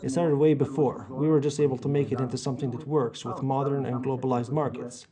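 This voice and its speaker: A man's voice: mid-range voice, level tone, fairly quick, crowd noise, lightly acted. A man dubbing a veteran business executive. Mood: measured. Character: dubbed voice of a veteran male free zone executive. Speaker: interview guest, dubbed into English. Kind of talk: read dub of an interview answer.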